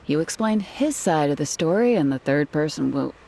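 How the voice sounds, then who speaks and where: A woman playing a brisk, defensive female investigator in a video game. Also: low-pitched, lively intonation, vocal fry, warm tone, exaggerated voice. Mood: doubtful. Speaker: investigator character. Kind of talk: video game story voice acting. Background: faint music.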